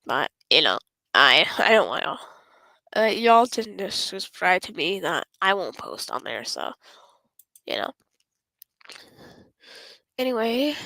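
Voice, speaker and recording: A woman streaming a game, her voice hoarse and going raspy, rambling and often apologizing. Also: medium pitch, bright, forceful tone, no room sound, low-quality recording. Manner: rambling, apologetic, self-interrupting. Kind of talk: casual gameplay stream chat.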